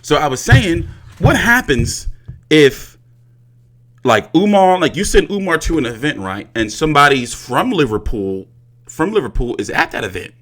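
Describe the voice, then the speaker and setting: A man's voice: on the high side, big pitch swings, moderate pace, fairly bright tone. A man hosting a boxing livestream in a casual, blunt and profane ranting style, often repeating his phrases.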